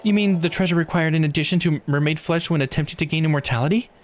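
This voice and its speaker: A man voicing a formal, cautious investigator from a visual novel, reading the dialogue in measured phrases. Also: on the high side, voice pushed up, lightly acted. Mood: earnest. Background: music.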